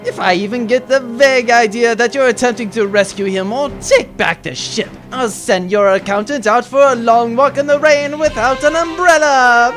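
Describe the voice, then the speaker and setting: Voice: medium pitch, normal speed, hardly any pauses, a bit bright, faint music behind, natural voice. An actor playing a sarcastic, pompous villain baron who gloats and threatens captives in a comedic audio drama. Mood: threatening.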